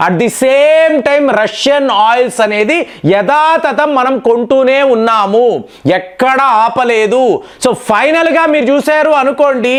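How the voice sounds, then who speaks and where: This is a man with a strikingly high voice for a male speaker. He presents political commentary as an explainer addressed to his viewers. His delivery is emphatic, built on rhetorical questions and repeated key phrases. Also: fairly bright tone. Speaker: political news commentator and channel host.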